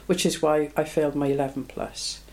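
A woman's voice: sits low, flat delivery, a little fast, darkish tone. A woman in her seventies, born in Belgium, recalling her life in an oral history interview, reflective and plain-spoken. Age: 70s.

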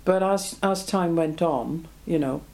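Woman, 70s, Belgian-born, low mellow voice, reflective oral history interviewee. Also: a little slow. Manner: reflective, plain-spoken, frequent ums.

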